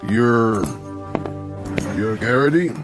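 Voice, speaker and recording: An actor playing a folksy, self-important Old West sheriff with an American Western drawl in an audio drama. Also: male voice, mid-range voice, slow pace, over music, natural voice. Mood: puzzled, probing. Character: folksy, slow-witted Old West sheriff, proud of his reasoning.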